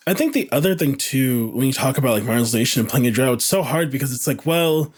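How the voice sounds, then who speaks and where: A man chatting on a discussion panel, halting as he searches for words. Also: mid-range voice, animated, slightly rough. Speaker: podcast panelist.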